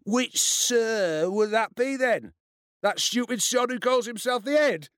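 Audiobook bully boy performed by a man: foul-mouthed, cocky, taunting, high and very animated, dry studio sound. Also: normal speed, dry recording. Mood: scornful. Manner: taunting, sneering delivery. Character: cruel, swaggering schoolboy bully, jeering and foul-mouthed.

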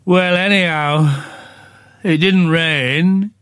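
A man voicing a gloomy old male donkey who speaks slowly and mournfully, full of self-pity. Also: fairly high pitch, a bit bright, clear, resonant tone, no room sound, clean studio sound. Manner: slow, drawn-out, self-pitying.

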